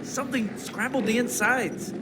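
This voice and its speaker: A man's voice: very high voice, normal speed, very noisy. A man playing an uneasy, eager young Marine private, deferential to his officers, in a video game cutscene. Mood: puzzled. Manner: deferential, uneasy soldier talk. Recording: loud music under the voice.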